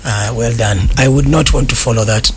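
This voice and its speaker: A man hosting a panel chat, jokey and animated as he asks his guests questions. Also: mid-range voice, brisk, a little hiss or hum. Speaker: radio show host. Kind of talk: casual radio panel chat.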